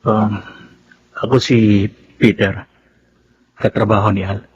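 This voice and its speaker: A man acting in a Filipino radio drama as a hesitant, uneasy soldier with a Filipino accent and halting speech. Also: fairly low pitch, flat delivery, highs cut off, a little hiss or hum. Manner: halting, stammering. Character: hesitant, uneasy soldier colleague bearing news.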